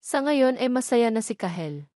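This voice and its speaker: A woman's voice: fairly high pitch, sing-song, moderate pace, warm tone, no room sound, clean studio sound. A woman reading scripted narration of a romance drama as a storyteller.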